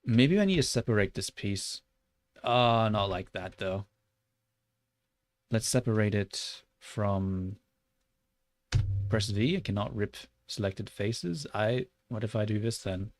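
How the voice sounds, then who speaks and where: A young Australian man livestreaming while he works, chatting loosely with lots of "like" and muttering curses at his software. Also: on the low side, takes it slowly, long pauses, dry recording. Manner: rambling and loose, heavy on "like", muttering and swearing at the software. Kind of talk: casual livestream chat while 3D modeling.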